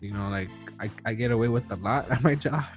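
A man's voice: mid-range voice, fast talker, soft background music. A man in his early thirties hosting a podcast, talking casually and rambling with lots of fillers and restarts. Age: 30s. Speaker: podcast host. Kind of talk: casual chat.

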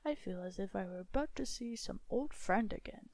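A woman voicing a cheerful, oblivious middle-aged father in a storybook reading, slow and contented. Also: medium pitch, expressive, a little mellow, no room sound, close to an everyday voice.

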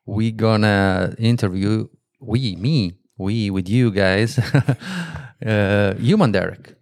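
Italian man chatting in a low voice. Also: slow pace, darkish tone, dry recording. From Italy. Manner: loose, rambling sentences full of "you know". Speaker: guest interviewer. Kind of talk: casual conversation.

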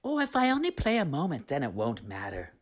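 A woman's voice: low-pitched, huge pitch swings, fairly quick, exaggerated voice. A woman voicing an impulsive, easily upset young girl in a storybook tale, in a plaintive, pleading way. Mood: tempted, eager. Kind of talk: storybook character voice.

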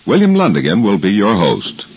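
A formal male announcer from 1950s radio, very animated in pitch and emphatic in delivery. The recording is muffled, with music clearly behind him. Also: moderate pace.

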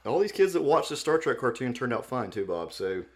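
A man's voice: medium pitch, very animated, fairly quick. A man co-hosting a podcast in casual, comedic fan chat, joking in a wry, offhand way. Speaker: podcast co-host.

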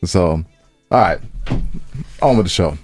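A man's voice: low voice, lively intonation, unhurried, a little mellow, soft background music. A man co-hosting a podcast in laid-back, joking, slangy casual chat. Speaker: podcast co-host.

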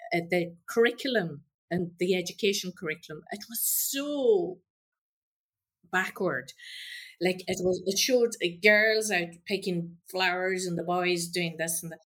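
Earnest woman, lowish voice. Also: measured pace, a bit bright. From Ireland. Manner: earnest and passionate, with fillers. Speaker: guest, former teacher. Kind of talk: casual conversation.

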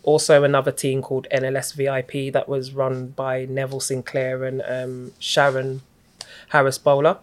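A middle-aged woman, a guest in an interview, recounting her story in a reflective, even-toned, unhurried way. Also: rumbling low. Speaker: guest, community activist.

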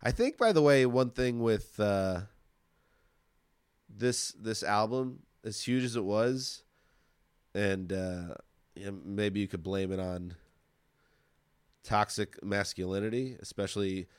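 A man chatting casually and enthusiastically as co-host of a music podcast, informal and quick to swear. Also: lowish voice, smooth, no room sound. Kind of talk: casual chat. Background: pop-rock songs playing.

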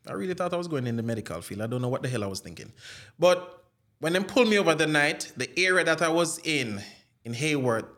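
High-pitched Jamaican man telling stories. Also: animated, quick pace, fairly bright tone. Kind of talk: casual chat with anecdotes.

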